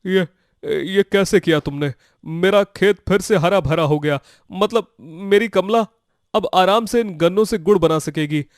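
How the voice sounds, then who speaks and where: A man's voice: sits high, measured pace, no room sound, clean studio sound. A man acting an earnest, naive, plaintive village farmer. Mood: amazed, grateful. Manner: plaintive, easily amazed, earnest. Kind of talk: dramatized Hindi moral story for children.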